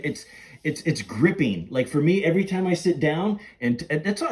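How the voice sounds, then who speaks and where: A man co-hosting a casual fan podcast about a film, effusive and stammering with excitement, often breaking into giggly laughter. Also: fairly high pitch. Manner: repetitive, stammering excited delivery. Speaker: podcast host.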